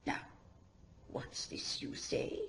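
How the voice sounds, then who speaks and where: A woman playing a fussy, motherly old servant in an audio drama, with a breathy, scolding, muttering way of talking. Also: slow pace, fairly bright tone, in a whisper. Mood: fussing, scolding. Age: older.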